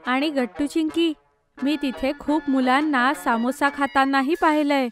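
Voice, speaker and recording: Little cartoon schoolgirl: very high, bubbly, keen, enthusiastic. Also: fairly even intonation, measured pace, soft background music. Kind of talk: children's cartoon voice acting.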